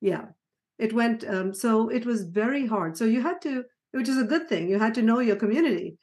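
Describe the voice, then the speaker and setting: A middle-aged woman born in Sri Lanka and raised in Ghana, talking through her life story as a podcast guest, with soft laughs and frequent restarts. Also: fairly high pitch, animated, moderate pace. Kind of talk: conversational storytelling interview.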